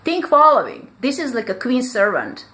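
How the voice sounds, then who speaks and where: A woman's voice: on the high side, huge pitch swings, normal speed, fairly bright tone. A woman speaking English as a second language in a rambling vlog monologue. She fills her talk with "like" and restarts often, with small grammar slips and laughs between phrases. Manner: rambling, heavy use of "like" fillers, frequent restarts. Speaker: vlogger.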